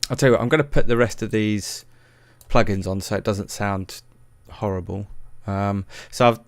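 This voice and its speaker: A man's voice: on the low side, fairly even intonation, moderate pace, dry recording. A man narrating a music production tutorial in a casual, hesitant, self-deprecating way.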